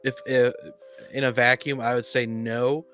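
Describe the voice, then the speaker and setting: Man, mid-pitched, conversational, halting explainer, faint music behind. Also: level tone, fairly bright tone. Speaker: podcast speaker on tabletop gaming. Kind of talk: casual discussion.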